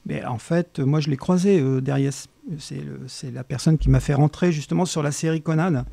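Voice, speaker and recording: A man speaks as an interview guest in a dark, gravelly voice, close and dry. He is agreeable and puts his thoughts together in hesitant pieces. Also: on the high side, normal speed, no echo. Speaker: guest, animation artist. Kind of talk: casual interview conversation.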